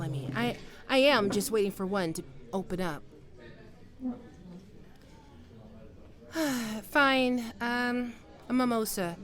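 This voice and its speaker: Woman acting a slow, hesitant, wistful ex-lover. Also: medium pitch, lightly acted. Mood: hesitant, nostalgic. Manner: hesitant, halting, with sighs and trailing off. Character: flustered, wistful, proud writer meeting her former lover. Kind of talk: intimate ASMR roleplay acting.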